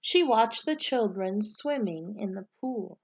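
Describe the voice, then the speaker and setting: A woman teacher reading a storybook aloud to pupils and giving them instructions in a clear way suited to children. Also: medium pitch, expressive, slow pace.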